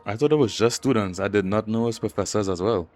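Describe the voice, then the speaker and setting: A man's voice: on the low side, fast, darkish tone. A man giving casual, slangy playthrough commentary, joking and talking back to the screen. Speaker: gaming streamer. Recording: game music behind the voice.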